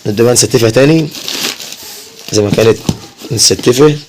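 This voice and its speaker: Fast-talking Egyptian man, casual vlogger. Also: medium pitch, noisy background. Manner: repeats himself, often addresses viewers. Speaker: family vlogger. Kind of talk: casual chat to viewers.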